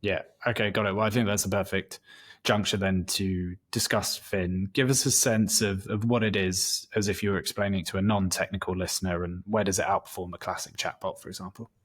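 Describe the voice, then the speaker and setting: A man's voice: sits low, fairly even intonation, a little fast. A man interviewing a guest in a conversational style, setting up his questions as he goes.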